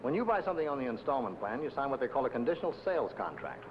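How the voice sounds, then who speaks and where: A man's voice: big pitch swings, very fast, lightly acted. A man playing a blunt, condescending investigator in a 1950 film noir, leaning hard on a debtor. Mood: stern, lecturing. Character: hard-nosed, threatening male debt-collection investigator. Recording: noisy old film soundtrack, traffic rumble.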